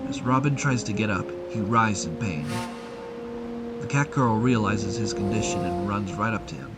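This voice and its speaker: A man with a fairly low voice reads scripted narration for an adventure audio drama. He tells the story as narrator, with very loud music running beneath his words. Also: moderate pace.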